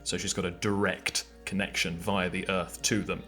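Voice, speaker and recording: A man's voice: deep voice, flat delivery, normal speed, sharp tone. A man playing a tabletop roleplaying game, describing his character's actions in dramatic third person. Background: faint background music.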